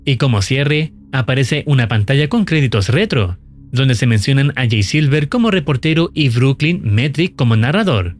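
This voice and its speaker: A man's voice: medium pitch, expressive, moderate pace. A man narrating a scripted video recap in an explanatory storytelling style. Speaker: narrator of a horror video channel.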